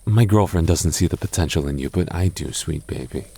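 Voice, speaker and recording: A young man in a close-mic boyfriend roleplay, talking softly with halting restarts and light jokes. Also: sits low, gravelly, husky. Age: young adult. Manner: soft-spoken, halting, jokey and reassuring; slow measured reading of a rhyme.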